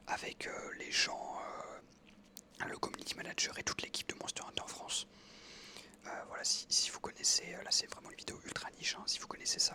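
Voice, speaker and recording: A young man in his twenties whispers the whole way through, his breathy voice very bright and crisp on the consonants. He tells a story outdoors on an evening walk, pausing often to hunt for words. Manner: whispering throughout, hesitant with many fillers. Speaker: ASMR creator.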